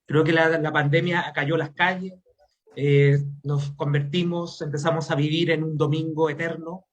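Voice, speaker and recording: A man's voice: a little high, little pitch movement, normal speed. A middle-aged man with a Chilean accent giving reflective interview answers, repeating words as he thinks. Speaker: interview guest, playwright and academic.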